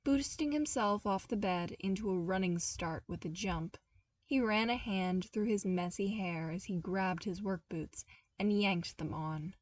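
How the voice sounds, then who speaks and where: A woman narrates fiction in an expressive, animated storytelling manner. Her voice sits at a middling pitch. The sound is dry, with the microphone a little way off. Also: measured pace, dry recording, far from the mic. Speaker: podfic narrator reading fan fiction.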